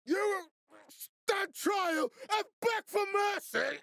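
An actor playing a menacing middle-aged military leader in a video game, authoritative, curt and measured. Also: male voice, very high voice, creaky, fairly bright tone, no echo, studio quality, exaggerated voice. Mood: furious, commanding. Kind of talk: dramatic video game voice acting.

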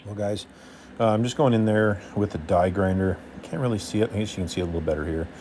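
Deep, mellow man talking shop. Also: a little hiss or hum. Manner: relaxed, offhand, drawn-out fillers. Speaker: welder and channel host. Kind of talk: laid-back voice-over over time-lapse.

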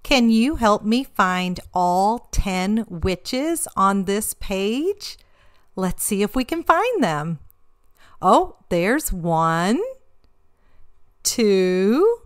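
A middle-aged woman hosting a children's read-aloud, playfully leading young listeners through counting and asking them questions in an animated sing-song way. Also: medium pitch. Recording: roomy echo, distant mic, music behind.

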